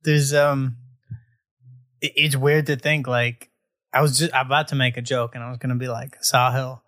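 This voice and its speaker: A young man in his twenties hosts a loose, joking conversation. He has a bright, forceful tone and rambles through his thoughts. The sound is dry and close, with no room around it. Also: medium pitch, flat delivery, moderate pace, no echo. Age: 20s. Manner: joking, rambling, lots of fillers. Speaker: podcast host. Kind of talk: casual conversational interview.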